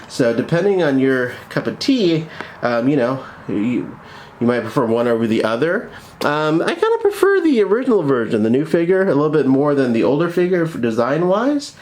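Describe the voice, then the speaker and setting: Male review host, medium pitch, casual and enthusiastic, informal delivery. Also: darkish tone.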